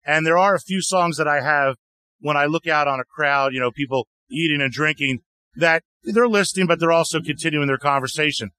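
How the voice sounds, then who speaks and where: A man talking about his music as an interview guest, loose and conversational, leaning on "you know" between thoughts. His voice sits a little high for a man and comes through bright and forward. The sound is close and dry. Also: brisk, no room sound, rough recording. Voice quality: deeper voice. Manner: relaxed, chatty, frequent "you know" fillers. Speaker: guest singer-songwriter. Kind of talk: casual interview answers. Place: outdoor music festival.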